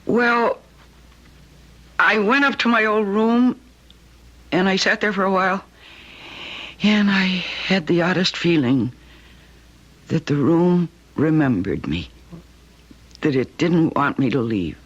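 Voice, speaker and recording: A woman's voice: on the low side, animated, takes it slowly, pauses often. An actress playing an earnest, hesitant young married woman in an old-time radio drama. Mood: troubled, dreamy. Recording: muffled old radio recording.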